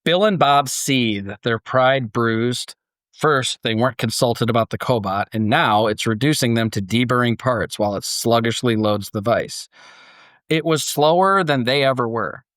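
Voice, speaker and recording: A man's voice: mid-range voice, a little slow, fairly bright tone, no room sound. Dry, close-recorded man hosting a podcast. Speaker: podcast host. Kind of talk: casual panel discussion and reading a story aloud.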